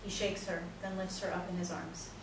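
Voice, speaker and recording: A woman's voice: on the low side, little pitch movement, fairly quick. A woman narrator reading scripted stage directions at a play reading, recorded in an echoey room. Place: echoey indoor room, far from mic. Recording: very echoey room, distant mic, noisy.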